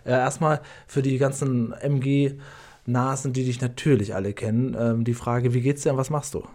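A man hosting a podcast, asking his guests questions in a quick, chatty way. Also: mid-range voice, steady tone, darkish tone. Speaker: podcast host. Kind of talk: casual conversation.

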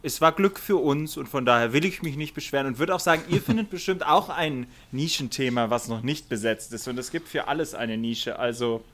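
A man of middling pitch, visiting a podcast as a guest. He chats in a friendly, easygoing way about his own show. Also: brisk, few pauses, fairly bright tone. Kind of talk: casual podcast chat.

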